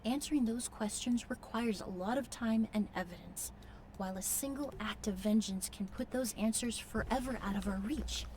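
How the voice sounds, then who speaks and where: A woman voicing a composed, gentle and thoughtful mentor figure in a video game. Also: a little high, normal speed, a little hiss or hum, lightly acted. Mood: gentle, reflective.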